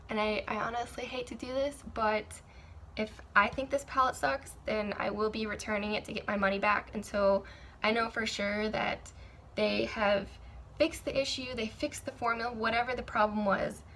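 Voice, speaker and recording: A young woman with a raspy, sore throat, chatting candidly through a makeup review with frequent ums and restarts. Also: fairly high pitch, fairly bright tone, slight background noise. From Maryland, USA. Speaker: beauty vlogger. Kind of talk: casual product review.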